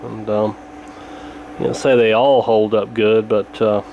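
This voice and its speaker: A man's voice: fairly low pitch, flat delivery, measured pace, muffled recording, slight background noise. A man giving a casual, hands-on repair walkthrough in a folksy, colloquial way, thinking aloud as he goes. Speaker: radio repair hobbyist presenting.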